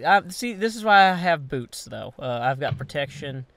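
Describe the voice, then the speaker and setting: Lively man chatting over a game. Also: a little high, moderate pace. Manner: rambling, thinks aloud while building. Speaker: game player. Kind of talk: casual gameplay chat.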